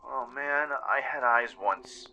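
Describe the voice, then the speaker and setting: A man's voice: mid-range voice, takes it slowly, pushed and bright, a little hiss or hum, pitched above the performer's own voice, exaggerated voice, low-quality recording. A man voicing the disembodied spirit of a young mare in a radio play, wistful and casually musing.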